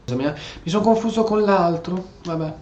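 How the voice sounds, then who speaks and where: A man's voice: high voice, fast talker, a little mellow. An Italian man giving casual, playful gameplay commentary, often stumbling and repeating his words. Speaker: gameplay streamer. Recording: dull, roomy home recording.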